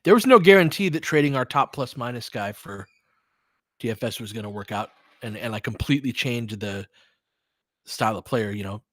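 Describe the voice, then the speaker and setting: A man's voice: mid-range voice, expressive, normal speed, a bit bright, no echo, clean studio sound. A man giving informal live-stream play-by-play and commentary, thinking aloud as he chats with listeners.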